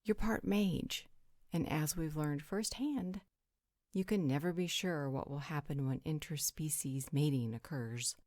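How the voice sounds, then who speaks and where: A woman's voice: low-pitched, a little slow, a little mellow, no echo, studio quality. A woman playing a frank, teasing, worldly supernatural guardian who speaks bluntly in a roleplay audio drama. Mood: matter-of-fact.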